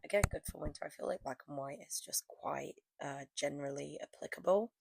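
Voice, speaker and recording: Hoarse young woman with a cold. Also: low voice, brisk, noisy background. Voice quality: hoarse, croaky. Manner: rambling, fillers like "like". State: losing her voice from a cold. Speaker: press-on nail artist. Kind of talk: casual chatty vlog narration.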